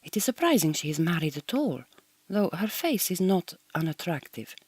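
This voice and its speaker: A woman's voice: lowish voice, sing-song, moderate pace, darkish tone, breathy edge, dry recording. An actress playing a proud, homesick Hungarian migrant woman in a radio drama, speaking a diary in slightly stilted, formal English with a Hungarian accent. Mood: offended, disdainful. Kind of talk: recorded audio diary monologue in a radio drama.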